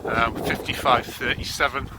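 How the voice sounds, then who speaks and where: A man's voice: medium pitch, normal speed, very noisy. A man in his thirties from northeast England, chatting casually and a bit ramblingly while out on a snowy morning run. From North East England. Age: 30s. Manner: relaxed, rambling, lots of ums. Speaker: running vlogger. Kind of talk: casual chat while jogging. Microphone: handheld camera while running.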